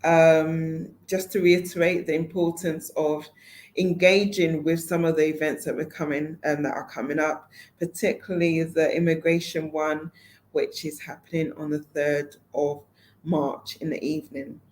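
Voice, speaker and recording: A woman with a slightly low voice addresses her audience as the organizer of a network event. Her delivery is informal and conversational, full of fillers and self-corrections. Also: flat delivery, normal speed, a little mellow, slight background noise. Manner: conversational, many fillers and restarts. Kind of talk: casual online talk to an audience.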